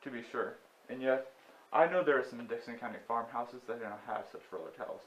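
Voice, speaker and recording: A man's voice: medium pitch, a little fast, slight background noise, lightly acted. A man acting in a stage play as a condescending county attorney, questioning people in a smug, probing way. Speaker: stage actor. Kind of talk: amateur stage play dialogue. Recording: dull, muffled stage recording.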